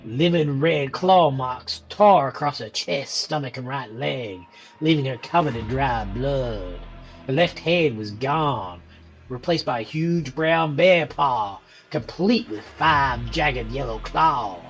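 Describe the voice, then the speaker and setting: A woman's voice: rumbling low, slow, faint music behind. A woman reading a scripted horror story as its narrator.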